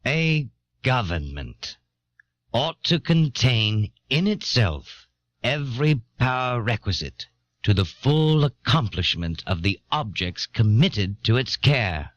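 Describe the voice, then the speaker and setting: Slow, oratorical early American statesman. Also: mid-range voice, very animated, a bit bright, no echo. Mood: grave, stately. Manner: deliberate, oratorical, measured. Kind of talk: dramatized reading of historical political essays. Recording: dull, dry old audio cassette.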